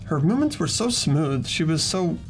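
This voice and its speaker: A man voicing an earnest, easily flustered teenage boy in an amateur visual novel dub, both narrating and speaking with a hesitant, expressive delivery. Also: fairly high pitch, moderate pace, faint music behind. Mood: narrating, uneasy.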